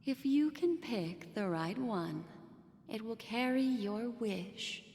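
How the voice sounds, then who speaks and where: A woman playing a mysterious, soothing and teasing storyteller in a fantasy game, with a deliberate, hushed bedtime-story delivery. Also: fairly high pitch, animated, gravelly, a little hiss or hum, exaggerated voice. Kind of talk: scripted game narration. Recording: long reverb, music behind. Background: game music.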